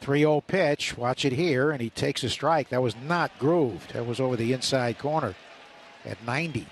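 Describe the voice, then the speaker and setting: A man's voice: mid-range voice, moderate pace, a little hiss or hum. A man working as a radio announcer, calling live baseball play-by-play.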